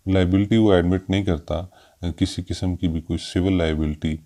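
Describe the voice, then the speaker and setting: A man with a Pakistani accent giving an informal explanatory law lesson, hesitant with frequent drawn-out fillers and mixing in English terms. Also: sits low, fairly even intonation, dark tone. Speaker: law lecturer.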